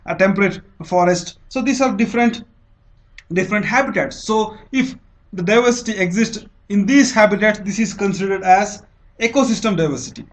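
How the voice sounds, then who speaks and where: A man with an Indian accent teaching in an explanatory lecture style, restating phrases and often checking in with a short okay. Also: high-pitched. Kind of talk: explanatory exam-prep lecture.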